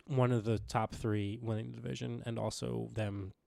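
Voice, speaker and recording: A young man co-hosting a casual sports podcast, slightly raspy from recent illness, rambling with lots of fillers and restarts. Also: medium pitch, flat delivery, moderate pace, warm tone, no echo. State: recovering from illness, a bit raspy. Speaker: podcast co-host.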